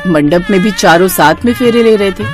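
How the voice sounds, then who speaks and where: A woman's voice: mid-range voice, fairly quick, over music. A woman narrating a scripted story in storybook style.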